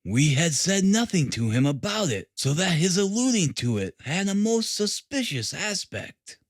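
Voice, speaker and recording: Audiobook role: smug male police detective, acted by a man narrator; formal, pompous, lively intonation, dry close sound. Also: high voice, moderate pace, no echo. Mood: self-satisfied. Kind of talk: audiobook character reading.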